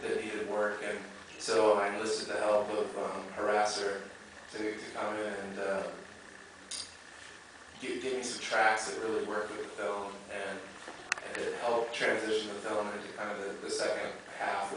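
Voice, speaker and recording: A man with a low voice gives casual, halting answers as a film director at a Q&A. He sounds distant in a very echoey theater, with clear noise around him. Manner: halting, informal, repeats himself. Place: movie theater, audience present.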